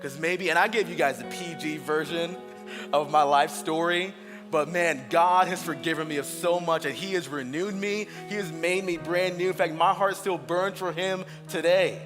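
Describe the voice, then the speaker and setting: A man in his thirties preaches an Easter testimony, earnest and personal, leaning into key phrases slowly. His voice sits a touch high. It rings through a large reverberant church hall while music plays clearly underneath. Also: fairly even intonation. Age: 30s. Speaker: pastor. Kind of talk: Easter sermon, shared testimony.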